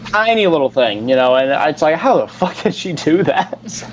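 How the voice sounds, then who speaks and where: Blunt, swearing man with lively pitch. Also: normal speed, highs cut off, slight background noise. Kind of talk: casual live show banter.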